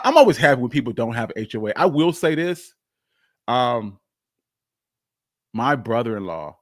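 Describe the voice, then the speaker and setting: A man's voice: mid-range voice, no echo, clean studio sound. A man hosting a casual, unscripted livestream, rambling informally and often repeating his phrases. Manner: informal, chuckles often, swears mildly. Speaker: livestream host.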